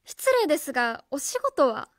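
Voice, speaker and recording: A woman's voice: high-pitched, very animated, normal speed, dry recording, clean studio sound. A woman playing an earnest, suspicious schoolteacher in a radio drama, speaking politely and precisely as she questions people. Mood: stern questioning. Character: earnest, suspicious female schoolteacher on a home visit. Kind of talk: Japanese radio drama acting.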